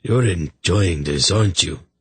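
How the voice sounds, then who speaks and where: A man's voice: sits low, measured pace, fairly bright tone, a little hiss or hum. A man voicing a miserable, self-pitying hungover man of royal rank in a storybook, plaintive and moaning weakly.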